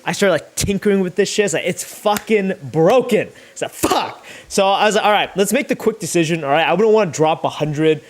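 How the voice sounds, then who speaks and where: Youngish guy thinking out loud on stream, kinda high voice, super up and down pitch, sweary and rambly. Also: lively intonation, brisk, few pauses. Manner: profane, slangy, rambling. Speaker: YouTuber livestreaming thumbnail making. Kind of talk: casual chat, thinking aloud while working.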